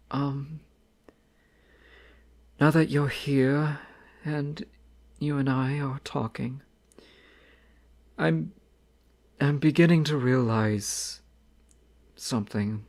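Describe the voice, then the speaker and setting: An actor playing a shy, sensitive young crown prince in a romantic audio roleplay, speaking softly and haltingly. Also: male voice, medium pitch, vocal fry. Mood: tender. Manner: soft, hesitant, halting.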